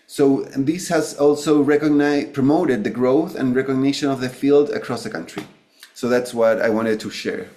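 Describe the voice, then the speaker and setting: A man giving an academic webinar presentation, halting and often correcting himself. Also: mid-range voice, mellow. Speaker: academic physician, guest speaker.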